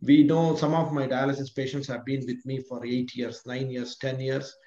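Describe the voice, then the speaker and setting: A man's voice: medium pitch, level tone, moderate pace, warm tone. A man speaking as a kidney specialist on a video call, encouraging and reassuring. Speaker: nephrologist. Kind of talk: panel discussion. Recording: roomy, dull video call audio.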